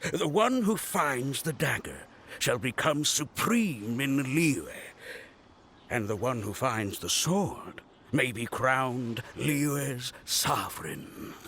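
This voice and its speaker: A slow, gravelly, bright-voiced show-off historian, full of himself. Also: male voice, mid-range voice, expressive, slight background noise. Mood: boastful. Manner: boastful and grandiose. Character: pompous, self-important male historian. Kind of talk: video game voice acting.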